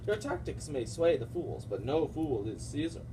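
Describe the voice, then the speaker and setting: Man playing a pompous high-pitched Roman ruler puppet. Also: expressive, normal speed, natural voice. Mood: haughty, imperious. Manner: grandiose, declamatory, comically sassy. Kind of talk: comic Shakespeare puppet show. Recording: distant microphone, loud background noise.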